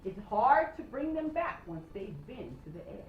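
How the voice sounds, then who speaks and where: Stern old Scottish sheepdog trainer played by a woman, commanding, storybook reading, lively pitch swings, roomy slightly dull recording. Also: a little hiss or hum. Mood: instructive, earnest. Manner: commanding, emphatic, measured instruction.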